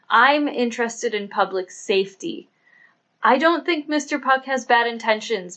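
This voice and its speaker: A sober female police inspector in an audiobook novel, read by a man. She is skeptical and firm, laying out her reasoning in measured phrases. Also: high-pitched, pushed and bright, full character voice.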